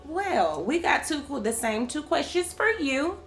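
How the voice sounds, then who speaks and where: A woman's voice: high voice, big pitch swings, unhurried, soft background music. A woman hosting a podcast, affirming her co-hosts and leading the questions in casual chat. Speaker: podcast host.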